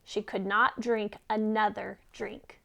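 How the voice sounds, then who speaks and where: A woman's voice: mid-range voice, flat delivery, slow pace, vocal fry, sharp tone. A woman reading a children's story aloud expressively, as a teacher who puts questions to listeners.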